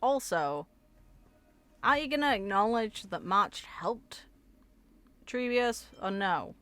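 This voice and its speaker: A woman's voice: medium pitch, sing-song, takes it slowly, sharp tone. A woman giving casual commentary as a streamer, reacting to game character voicelines in short remarks.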